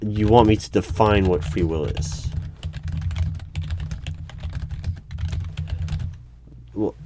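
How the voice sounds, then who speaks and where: Man playing a deep-voiced, puzzled secret-agency interviewer. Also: fairly even intonation, noisy background, close to an everyday voice. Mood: careful, confused. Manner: halting, questioning, careful clarifying. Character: puzzled, methodical hiring manager of a secret agency. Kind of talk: one-sided ASMR interview roleplay. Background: computer keyboard typing and clicks.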